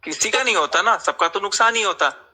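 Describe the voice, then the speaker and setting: Insistent man with a bright, high voice. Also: brisk, slightly rough. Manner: insistent, confrontational, repeats questions. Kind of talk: heated argument in a group call. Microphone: recorded group voice call.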